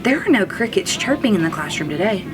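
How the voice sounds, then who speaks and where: A woman reading a children's storybook aloud like a teacher, expressive, drawing out words for emphasis. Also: mid-range voice, quick pace, poor audio. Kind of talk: children's storybook read-aloud, first-person narration. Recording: music under the voice. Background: background music.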